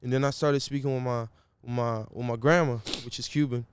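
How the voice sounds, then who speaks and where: Guy chatting easy, smooth clean voice, slangy, lots of "you feel me". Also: medium pitch, steady tone, brisk, no room sound. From Brazil. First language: Portuguese. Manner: laid-back slangy talk, keeps saying "you feel me". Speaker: guest musician. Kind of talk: casual interview chat.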